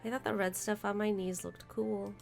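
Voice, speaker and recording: A detached young man who feels no pain, read aloud by a woman. The voice is gravelly with vocal fry and stuffed up from congestion. A soft thread of music plays underneath. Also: medium pitch, moderate pace, close to an everyday voice. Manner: reads game text aloud flatly.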